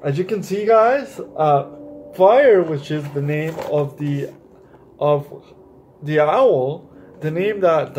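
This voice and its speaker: Male children's storytime host: notably high voice, unhurried read-aloud delivery, speaking straight to kids. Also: a little mellow, slight background noise. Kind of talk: animated read-aloud of a picture book with explanatory asides.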